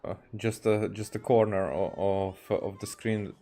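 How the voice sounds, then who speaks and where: A low, mellow man's voice with a Hungarian accent, talking slowly and haltingly at a desk microphone. He streams a game casually and often stops and restarts his sentences. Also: steady tone. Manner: halting, with many fillers and restarts. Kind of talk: casual livestream commentary, reading game dialogue aloud.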